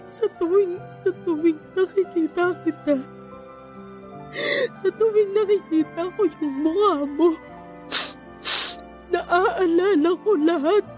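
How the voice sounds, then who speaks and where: An actress plays an anguished Filipino mother in a radio drama, her high voice soft-edged and mellow. She speaks slowly and haltingly, doubling back on words, weighed down by guilt. Faint music runs underneath. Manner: halting, repeating words, tearful.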